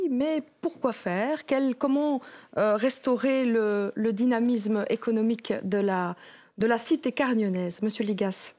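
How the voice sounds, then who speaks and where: A journalist moderating a televised debate in a measured, polite way. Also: female voice, a little high, a little mellow.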